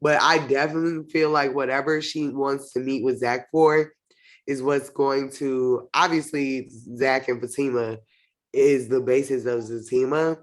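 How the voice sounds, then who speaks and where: A woman with a stuffy nose from a cold, reviewing a TV episode in casual chat, expressive and opinionated. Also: extremely low pitch, a little slow, poor audio. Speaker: host of a TV show review channel.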